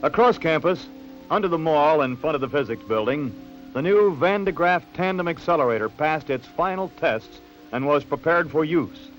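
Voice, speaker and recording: An American man reading scripted narration for a late-1960s newsreel in a measured, polished announcer delivery. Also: on the high side, animated, rough recording. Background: background music.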